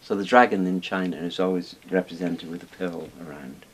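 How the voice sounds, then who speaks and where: Older male expert from Wales, low-pitched, informal explainer, hissy room recording. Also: fairly quick. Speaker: interviewed expert on Chinese dragons. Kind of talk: casual explanatory talk. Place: small book-lined room indoors. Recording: hissy background noise.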